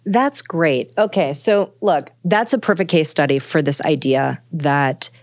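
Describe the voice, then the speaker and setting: A woman's voice: deep voice, unhurried, no echo, clean studio sound. A woman author talking about her book as a podcast guest, thinking aloud in a meandering way with many fillers and restarts. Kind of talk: casual conversational interview.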